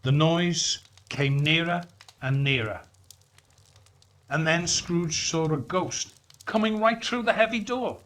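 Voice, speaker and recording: A male storyteller with a slightly high voice narrates at a slow pace. His phrasing is deliberate and expressive, in the manner of a dramatic storybook reading. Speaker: story reader.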